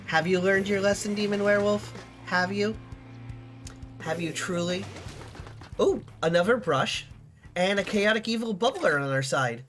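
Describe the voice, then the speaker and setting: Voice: mid-range voice, a little slow, a bit bright, soft background music. A streamer with a stuffy, sniffly nose, chatting casually and rambling while playing a game. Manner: chatty, rambling, trailing off mid-sentence. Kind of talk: casual gaming chat.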